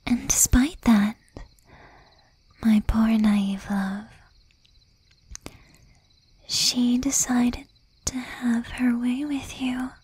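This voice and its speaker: A woman acting as a jealous, doting young demon girlfriend in a soft, breathy ASMR whisper. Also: on the high side, fairly even intonation, heavily acted. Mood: possessive, wounded. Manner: slow, intimate, menacing yet doting. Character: jealous, possessive young female demon girlfriend, shy, tender.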